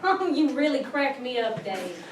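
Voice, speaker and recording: A chipper, fussing woman friend acted by a woman in a play reading, high and unhurried. The sound is echoey, with clear background noise. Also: very animated. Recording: echoey room, distant mic, noisy hiss.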